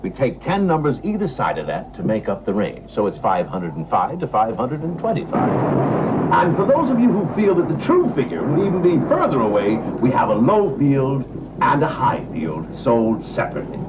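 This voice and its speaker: Male auctioneer played by an actor, animated swings in pitch, brisk formal patter, television drama, clearly noisy soundtrack. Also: close to an everyday voice. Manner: projected, repeats bids, formal.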